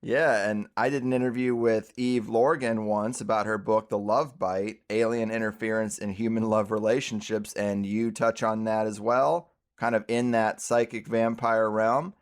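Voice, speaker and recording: A man's voice: fairly low pitch, little pitch movement, normal speed, few pauses. A man hosting a podcast interview, speaking conversationally and articulately in long, reflective turns. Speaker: podcast host.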